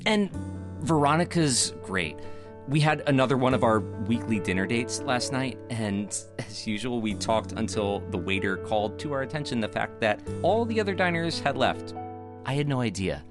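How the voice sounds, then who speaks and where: An actor plays a young man running a theater, earnest and witty. The audio drama delivery is animated and mid-pitched, with music behind it. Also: moderate pace, natural voice. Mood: upbeat, reflective. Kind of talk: audio drama acting, dialogue and reflective monologue.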